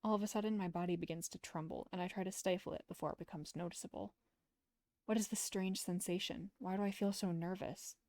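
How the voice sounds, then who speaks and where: A woman's voice: lowish voice, fairly even intonation, a little fast, a little mellow, no echo. A woman streamer reading visual novel text aloud as narration, with casual asides, close to the mic.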